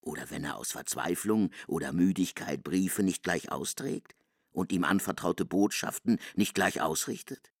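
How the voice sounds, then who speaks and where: Stern argumentative man, deep creaky voice, measured rhetorical radio play delivery. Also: no room sound, studio quality, full character voice. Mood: reproving. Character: stern, argumentative man reproving misplaced reverence. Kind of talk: literary radio drama delivery.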